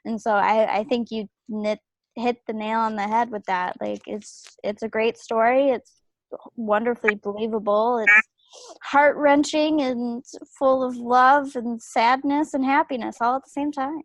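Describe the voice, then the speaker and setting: A woman's voice: a little high, steady tone, unhurried, no echo, rough recording. A woman talking casually and emphatically in a group feedback discussion on a video call. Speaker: table read participant giving feedback.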